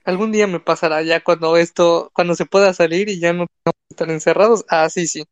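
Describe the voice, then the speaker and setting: A man's voice: a little high, fairly even intonation, quick pace, smooth, dry recording, highs cut off. Mexican guy, young, talks in loops with lots of fillers, dry close mic. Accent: Mexican Spanish. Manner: rambling, many fillers and restarts. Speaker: content creator and podcaster. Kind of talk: casual live chat.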